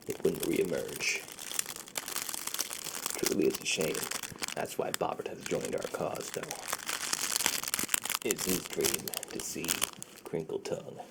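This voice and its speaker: A man playing a friendly, secretive underground ASMR dealer in a soft, breathy, half-whispered roleplay voice. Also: extremely low pitch, heavy background noise, exaggerated voice. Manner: slow, hushed, conversational.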